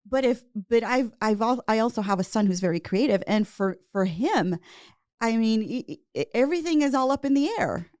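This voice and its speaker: A woman's voice: a little high, animated, normal speed, dry recording, studio quality. A woman hosting a podcast, interviewing a guest and backing him up with frequent affirmations. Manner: warm affirming listener, frequent mm-hmms. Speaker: podcast host.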